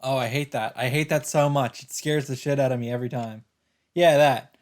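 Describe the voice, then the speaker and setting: A man's voice: mid-range voice, steady tone, fairly quick. A man hosting a let's play, reading visual novel narration aloud with casual asides. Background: game music.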